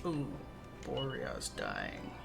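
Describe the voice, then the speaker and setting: A woman's voice: extremely low pitch, wildly swooping pitch, extremely slow, gravelly. A woman with a Minnesotan accent, chatting casually and playfully while streaming a game. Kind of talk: casual gameplay chat. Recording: game music behind.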